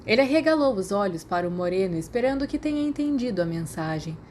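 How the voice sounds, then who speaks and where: A woman's voice: mid-range voice, lively intonation, fast talker, a little mellow, slight background noise. A woman with a Brazilian accent reading scripted fiction as an audiobook narrator. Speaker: podfic narrator.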